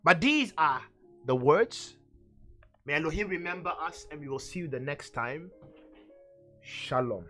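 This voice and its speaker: Guy preaching w a high sharp voice, really emphatic, repeats himself to drive it home, bit of music behind. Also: lively intonation, measured pace, long pauses. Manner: emphatic, exhorting, repeats phrases for effect. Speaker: preacher and teacher on a religious show. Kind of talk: fervent Bible teaching.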